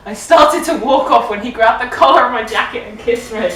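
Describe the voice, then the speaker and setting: A woman's voice: a little high, normal speed. A tipsy woman reading a story aloud in a theatrical, playfully hammy, giggly way. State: drunk. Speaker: reader of fan fiction for a comedy web show. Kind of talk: jokey dramatic story reading. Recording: echoey room, distant mic, music underneath.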